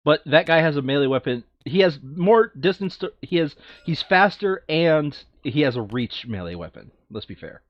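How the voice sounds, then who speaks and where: A man's voice: on the high side, expressive, moderate pace, hardly any pauses, no echo. A man chatting casually and thinking aloud as he plays a board game, sometimes muttering to himself, on a slightly dull lo-fi microphone. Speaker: board game player recording a playthrough.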